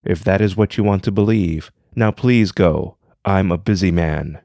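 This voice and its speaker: A man's voice: deep voice, normal speed, no echo, studio quality, voice pushed down. A man doing a wry old mystic. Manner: calm and wry.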